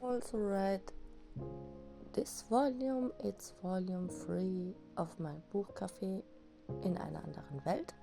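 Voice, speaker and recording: A young woman, slightly hoarse after an illness, rambling enthusiastically as she reviews manga in a casual vlog. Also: mid-range voice, slow, over music. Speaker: manga vlogger. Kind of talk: casual book review chat.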